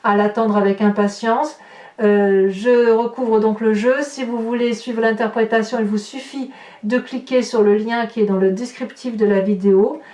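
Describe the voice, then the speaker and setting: A woman's voice: on the high side, darkish tone. A woman improvising a tarot reading for her viewers, speaking expressively and addressing them directly. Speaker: tarot reader hosting a horoscope video. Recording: roomy echo, distant microphone.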